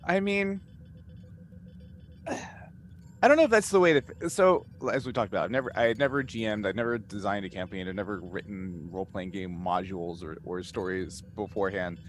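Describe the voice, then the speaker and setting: A man in a casual panel chat as a game master, rambling and halting as he thinks aloud. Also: on the low side. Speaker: podcast cast member and game master. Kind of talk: casual panel chat answering fan questions. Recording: noticeable background noise.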